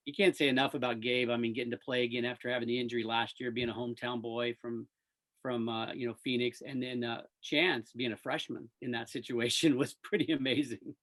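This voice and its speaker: A man's voice: medium pitch, fairly bright tone. A man speaking as a radio play-by-play announcer in a casual, enthusiastic sports interview.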